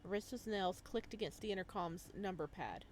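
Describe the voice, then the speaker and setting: A woman hosting a video game playthrough, reading the story aloud as expressive narration and reacting as she goes. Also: mid-range voice, a little fast, a little hiss or hum. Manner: expressive, slips into character voices. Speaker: gaming video host reading a visual novel aloud.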